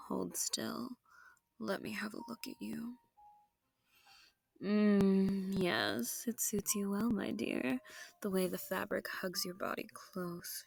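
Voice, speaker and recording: Slow, seductive female vampire, acted by a woman. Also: medium pitch, vocal fry, slightly rough, no echo. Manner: slow, teasing, genteel menace, often dropping to whispers. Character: seductive, sadistic, possessive female vampire noble hosting her foe. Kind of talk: intimate scripted audio roleplay.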